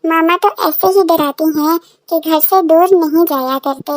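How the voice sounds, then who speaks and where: Woman acting very high-pitched stubborn little girl. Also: a little slow, no echo, clean studio sound, soft background music. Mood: sulky, defiant. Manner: childlike, simple, pleading complaints. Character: stubborn little village girl, frightened and tearful when lost. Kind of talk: children's cartoon story acting.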